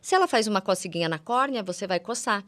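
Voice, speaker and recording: A woman's voice: mid-range voice, expressive, fast talker, a bit bright, dry recording. A woman with a Brazilian accent speaking as a guest eye doctor, explaining things conversationally and didactically, repeating words for emphasis and using affectionate diminutives. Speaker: guest ophthalmologist. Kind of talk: conversational medical explanation for lay audience.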